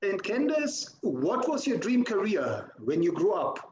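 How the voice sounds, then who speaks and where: A man hosting an interview, asking polite, measured questions. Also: high-pitched, huge pitch swings, a little mellow, lo-fi sound. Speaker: interviewer, host. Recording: echoey room sound.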